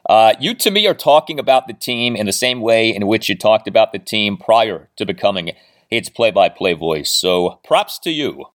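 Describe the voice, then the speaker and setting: A man's voice: lowish voice, sing-song, moderate pace. A man hosting a sports podcast, interviewing with an emphatic, announcer-like delivery. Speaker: podcast host.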